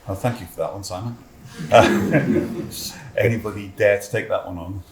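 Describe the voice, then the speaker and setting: Deep voiced guy running the panel, calm, echo in the hall. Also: moderate pace, creaky, heavy background noise. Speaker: moderator. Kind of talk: moderating a panel. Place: conference hall. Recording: echoey conference hall.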